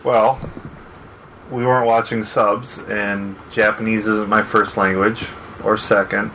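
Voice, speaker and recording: Podcast guest dude, lowish voice but really bright and punchy, dry and measured. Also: male voice, little pitch movement, slight background noise, rough recording. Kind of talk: casual chat.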